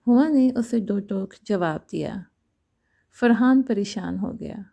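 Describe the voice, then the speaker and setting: A woman narrates a romantic story in a very dark, mellow voice. Her delivery is slow and scripted, with a creaky edge to a fairly high voice. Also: dull audio.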